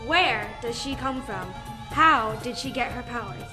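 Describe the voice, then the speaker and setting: A woman's voice: a little high, expressive, unhurried, rough recording. A teenage girl acting as a jokey co-narrator in a comic-book radio play. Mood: playful, teasing. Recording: music under the voice.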